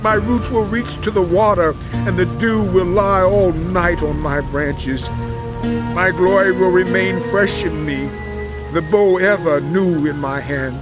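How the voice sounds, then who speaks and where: An actor playing a suffering righteous man in a dramatized audio Bible, with solemn, impassioned, measured delivery. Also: sits high, over music, rough recording. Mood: proud, wistful.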